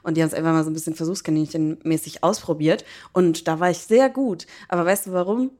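A woman, raised bilingual in English and German, co-hosting a casual chat podcast, playful and quick to laugh. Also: low-pitched, fairly even intonation, mellow. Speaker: podcast co-host.

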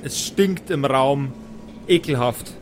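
A man narrating an improvised tabletop fantasy game as game master, in halting, deliberate phrases. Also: mid-range voice, a little hiss or hum. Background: background music.

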